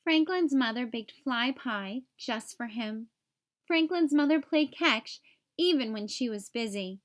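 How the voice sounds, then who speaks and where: High-pitched woman reading a children's storybook. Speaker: read-aloud host for young children. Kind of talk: children's storybook read-aloud narration.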